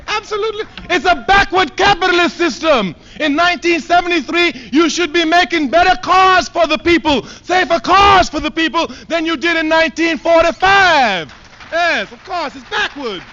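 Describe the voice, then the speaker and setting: A man from Trinidad delivers an emphatic political speech, hammering points home by repeating them. His voice sits high and leaps up and down in wide swings, rising to loud declarations before dropping to low asides. The sound is dull and muffled, like an old archive recording. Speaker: political speaker.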